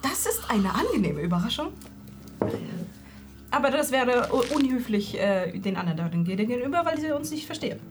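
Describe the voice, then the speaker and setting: A woman's voice: a little high, very animated, fairly quick, noisy background. A woman playing a tabletop game in character, polite and hesitant with many fillers, music playing behind. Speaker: player.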